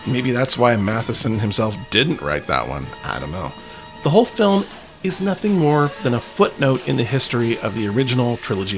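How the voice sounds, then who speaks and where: A man hosting a podcast in a conversational narrating style, with wry, colloquial asides. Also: medium pitch. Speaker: podcast host.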